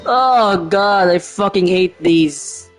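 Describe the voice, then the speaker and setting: A man's voice: sits high, slow pace. Male streamer, casual gameplay commentary, rambling, hesitant, faint music behind. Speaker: video game streamer. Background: faint game music.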